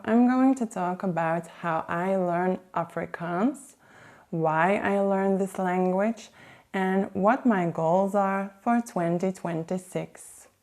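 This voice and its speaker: A woman with a Belgian Dutch accent, a native Dutch speaker, hosting her language vlog in a careful, measured way. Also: lowish voice, a little mellow. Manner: slow, careful, measured delivery. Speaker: language vlogger. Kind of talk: scripted vlog talk to camera.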